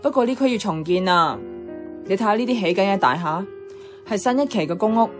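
An actress as a playful young woman with a Hong Kong Cantonese accent, fondly revisiting old memories. Her radio-drama voice sits at a middling pitch and is chatty and storytelling, with music clearly playing behind her. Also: animated, a little fast, natural voice. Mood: wistful.

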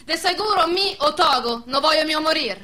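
An actress playing a bossy, sharp-tongued peasant woman in a radio drama, earthy, with a central Italian accent. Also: sits high, lively intonation, normal speed. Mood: coaxing, bossy. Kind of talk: stage-style radio drama acting.

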